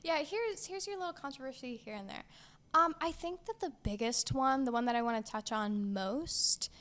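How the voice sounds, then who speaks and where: Young female co-host, bubbly and giggly, slightly high voice, casual gaming chat. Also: normal speed, a little hiss or hum. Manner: excitable, giggly, lots of fillers. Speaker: podcast co-host.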